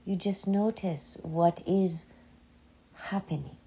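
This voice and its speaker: A woman guides a meditation in a mellow, dark, husky voice. She speaks softly and very slowly, leaving long pauses between short, gentle phrases. The recording sounds slightly muffled. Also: lowish voice. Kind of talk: slow, soothing guided meditation.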